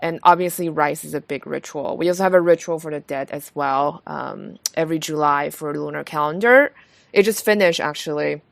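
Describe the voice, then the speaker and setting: Podcast host, woman from Taiwan; low, gravelly voice, dry close sound, relaxed filler-heavy chat. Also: brisk, dry recording. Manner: relaxed, many fillers and restarts. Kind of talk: casual conversation.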